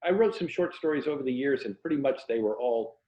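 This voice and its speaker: A man's voice: mid-range voice, animated, quick pace, warm tone. An American man speaking reflectively and anecdotally in a casual interview, with a self-deprecating way of talking about his writing. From Florida, USA. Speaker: interview guest, fiction writer and former journalist. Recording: echoey room, slightly dull lo-fi audio.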